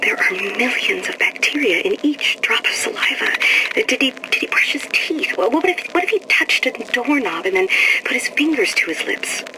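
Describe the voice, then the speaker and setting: A woman's voice: a little high, lively intonation, normal speed, natural voice. Woman playing fretful germophobe on noisy recorder. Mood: fretful, disappointed. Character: fretful, squeamish germ-obsessed lovelorn woman. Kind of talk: game audio diary acting. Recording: noisy old audio-diary recording.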